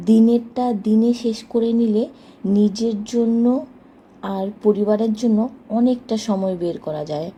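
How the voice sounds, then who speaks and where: A woman's voice: mid-range voice, mellow, a little hiss or hum, low-quality recording. A woman narrating a casual daily household vlog as a homemaker, chatting to her viewers. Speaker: homemaker vlogger.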